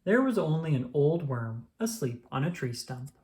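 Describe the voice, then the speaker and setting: A reader voicing a calm, reassuring male frog from a children's storybook, telling things patiently and encouragingly. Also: mid-range voice, moderate pace, natural voice. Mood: gentle storytelling.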